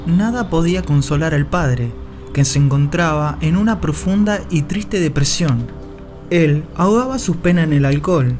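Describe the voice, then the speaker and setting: A solemn man narrates in slow, measured sentences, his voice slightly raised in pitch. Faint music plays under the narration, which sounds studio-recorded rather than on location. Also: darkish tone. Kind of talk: scripted dramatic narration.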